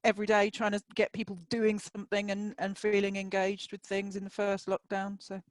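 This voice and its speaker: A woman's voice: mid-range voice, completely flat delivery, fairly quick, no echo. A woman with a British accent co-hosting a casual group discussion. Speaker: podcast co-host.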